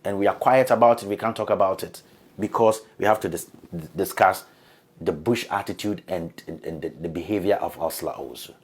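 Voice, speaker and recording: A man speaks in a slightly low voice, hoarse from a bout of flu. He delivers a political editorial, emphatic and accusatory, and pushes harder as his points stack up. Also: a little fast. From Ghana. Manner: emphatic, accusatory, building in force. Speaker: political commentator. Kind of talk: scripted editorial monologue.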